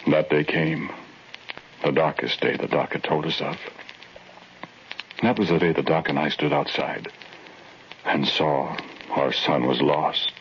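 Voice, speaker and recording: A low-voiced, solemn survivor. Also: male voice, fairly even intonation, a little slow, long pauses, fairly bright tone, slight background noise, heavily acted. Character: Adam, earnest male survivor narrating his grim memories. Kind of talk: 1940s radio drama acting. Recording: muffled old radio recording with music.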